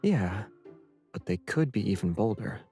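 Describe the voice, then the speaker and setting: An actor playing a gentle, teasing young man from the stars in a video game, with music behind him. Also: lowish voice, expressive, measured pace. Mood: calm, teasing.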